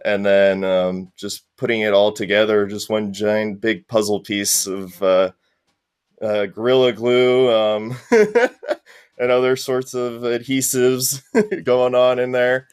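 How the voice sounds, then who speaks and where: A man speaks in a low, smooth voice with very little room sound, over faint music. He talks in an easygoing, rambling way, like a guest on a casual podcast. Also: fairly even intonation, takes it slowly, dry recording, clean studio sound. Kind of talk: casual interview chat.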